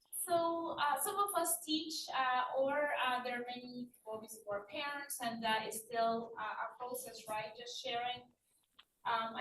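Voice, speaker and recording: Loud earnest woman asking from far off. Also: fairly high pitch. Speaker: audience member asking a question. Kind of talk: spoken question from the floor. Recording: distant roomy audience mic with noise.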